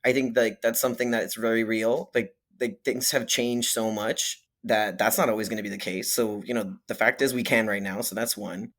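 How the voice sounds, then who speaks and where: A young man from Miami, a podcast guest, chatting candidly with lots of fillers and quick laughs. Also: fairly low pitch, steady tone, fairly bright tone. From Miami, Florida. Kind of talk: casual conversational interview.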